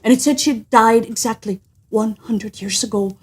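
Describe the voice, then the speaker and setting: A woman's voice: a little high, steady tone, a little mellow, breathy edge. Theatrical woman storyteller. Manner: theatrical, suspenseful, deliberate. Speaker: storyteller and host. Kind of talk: animated scripted storytelling.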